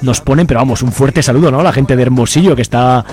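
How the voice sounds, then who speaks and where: A man's voice: medium pitch, fast talker, darkish tone. A man with an accent from Spain co-hosting live community radio in an informal, chatty way. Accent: Spanish from Spain. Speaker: radio co-host. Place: radio studio, live broadcast. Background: music playing behind.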